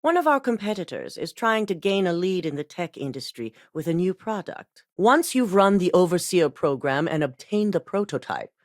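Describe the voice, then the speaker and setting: An actress voicing a composed, commanding female corporate CEO in a video game, speaking in a measured, authoritative, businesslike way. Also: sits low, dry recording, clean studio sound. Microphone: dry studio voice recording.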